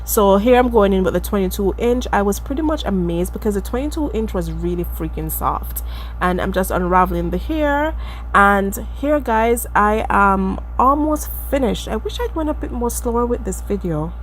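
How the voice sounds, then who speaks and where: A woman from the Caribbean with a stuffy, allergy-blocked nose chats casually through a wig-making tutorial, calling her viewers "guys" and laughing off her own skills. Her voice sits at a middle pitch. A faint hum runs under the recording. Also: lively intonation, normal speed. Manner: chatty, addresses viewers as guys, self-deprecating. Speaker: beauty vlogger.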